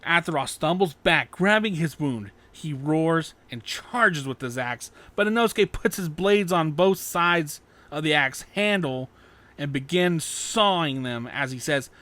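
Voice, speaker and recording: A man's voice: fairly high pitch, expressive, measured pace, sharp tone. A man reading an action fan fiction story aloud as a dramatic narrator.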